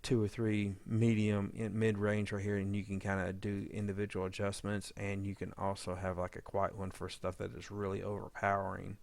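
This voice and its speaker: A man with a fairly low, creaky voice walks through an audio edit in a casual tutorial style. He talks informally, thinking out loud as he works. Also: monotone, normal speed, hardly any pauses, no echo. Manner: informal, thinking aloud while working. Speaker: audio drama editor demonstrating editing. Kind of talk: casual screen-recorded editing tutorial.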